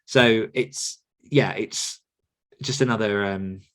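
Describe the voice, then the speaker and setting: A man's voice: lowish voice, takes it slowly, fairly bright tone. A man hosting a podcast, answering questions casually and thoughtfully, feeling his way through sentences and correcting himself. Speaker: podcast host and author coach answering questions. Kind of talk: casual, reflective Q&A talk.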